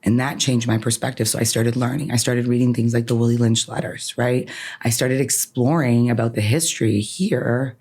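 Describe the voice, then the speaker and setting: A woman's voice: very deep voice, steady tone, moderate pace, darkish tone. A woman attorney who grew up in Canada with Farsi as her first language, speaking earnestly and emphatically as a podcast guest. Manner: earnest, emphatic, often ends points with "right?". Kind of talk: podcast interview, personal reflection.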